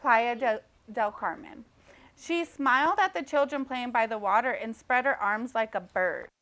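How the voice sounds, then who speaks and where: A woman teacher reading a storybook aloud expressively to children, pausing to check in with simple questions. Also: a little high, a bit bright, a little hiss or hum.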